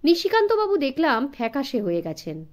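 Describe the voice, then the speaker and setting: A woman's voice: high-pitched, huge pitch swings, a little fast. A woman narrating an audiobook story expressively, in a dramatic storytelling manner suited to young listeners.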